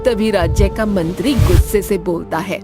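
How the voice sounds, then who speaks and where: A woman's voice: medium pitch, fairly even intonation, normal speed. Woman narrating a cartoon story. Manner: clear, storybook telling. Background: background music.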